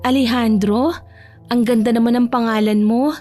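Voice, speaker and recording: A woman performs a shy, insecure young Filipina in an audio romance drama. The voice is high and emotional, with a timid, pleading manner, and music runs behind it. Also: fairly even intonation, moderate pace, mellow, lightly acted.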